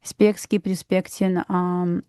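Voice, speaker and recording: A woman with a Russian accent talks through price action in a measured, matter-of-fact way. Her voice is low-pitched and mellow, and the sound is dry and close with no room echo. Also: flat delivery, clean tone. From Belarus. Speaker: trader co-host. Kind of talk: live market commentary.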